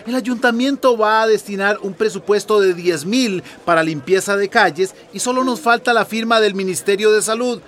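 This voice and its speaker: A man's voice: sits high, moderate pace, few pauses, a bit bright. A man playing a polite, reasonable town mayor in a radio drama, explaining himself formally. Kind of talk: Spanish-language radio drama acting.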